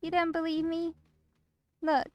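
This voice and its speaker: Woman acting sweetly menacing young woman, higher-pitched. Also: monotone, unhurried, dull audio.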